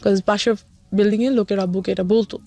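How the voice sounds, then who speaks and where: A woman's voice: mid-range voice, fairly even intonation, fast, dark tone. A young woman with a Bangladeshi accent telling personal life experiences as a guest, often slipping into English. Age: young adult. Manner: code-switches often between Bengali and English. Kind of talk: confessional personal storytelling, mixing in English. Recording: music under the voice. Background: background music.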